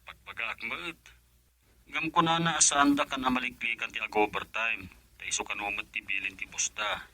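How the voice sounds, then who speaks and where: A man's voice: fairly low pitch, steady tone, normal speed, sharp tone. An actor playing an affectionate husband and father in a radio drama, with a Filipino accent. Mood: easygoing. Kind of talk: Ilocano radio drama acting.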